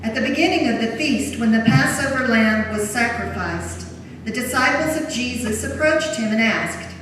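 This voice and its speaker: A solemn female narrator, read by a woman tells a scripture story formally. Her fairly high voice comes from far off in a long-reverb church hall, with noise around it. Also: lively intonation, normal speed, natural voice. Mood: solemn narration. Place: large church during a service. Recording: very echoey church hall, distant mic, noisy.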